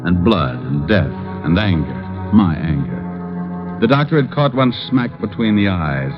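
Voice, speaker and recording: Wry gumshoe narrator, vintage radio drama, dulled sound, musical backing. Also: male voice, sits low, very animated, unhurried, music in the background. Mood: grim, angry. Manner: wry, self-mocking. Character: wisecracking male private detective narrating his own case. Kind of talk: 1940s radio detective acting. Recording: muffled old radio broadcast, musical backing.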